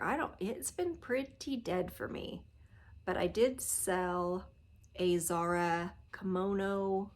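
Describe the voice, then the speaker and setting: Give me a middle-aged woman with a stuffy, nasal cold voice, pitched a bit low, chatting to camera at home. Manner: chatty, many fillers, trails off softly at phrase ends. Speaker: reseller vlogger. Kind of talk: casual to-camera vlog. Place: living room at home. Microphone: phone or camera close at arm's length.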